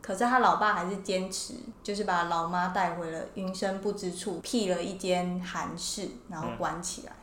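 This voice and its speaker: A woman's voice: lowish voice, steady tone, normal speed, hardly any pauses, a bit bright. A woman co-hosting a casual podcast conversation about fiction. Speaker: podcast co-host. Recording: roomy echo, faint background noise.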